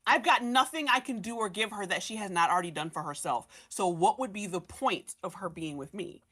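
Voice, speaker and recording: Bright, forceful woman explaining a story. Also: mid-range voice, expressive, a little fast. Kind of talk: casual fan discussion of a fiction story, acting out characters' thoughts.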